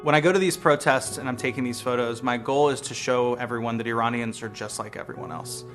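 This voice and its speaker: An American man, a lawyer and street photographer, speaking in an earnest, measured, reflective way in a documentary interview. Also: mid-range voice, fairly even intonation. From United States. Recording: music bed playing behind voice.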